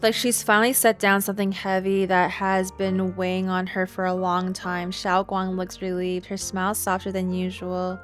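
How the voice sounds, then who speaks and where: A woman streamer reading a game's narration aloud in an expressive storytelling way, with casual reactions. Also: mid-range voice, moderate pace, hardly any pauses, soft background music.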